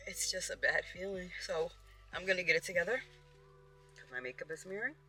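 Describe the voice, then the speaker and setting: A woman's voice: lowish voice, expressive, a little fast, bright, forceful tone, soft background music, poor audio. A woman speaking earnestly and vulnerably in an emotional, tearful personal confession to viewers. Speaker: personal vlogger.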